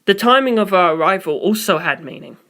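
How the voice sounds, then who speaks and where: A man's voice: high voice, lively intonation, brisk, sharp tone. Man narrating a first-person story.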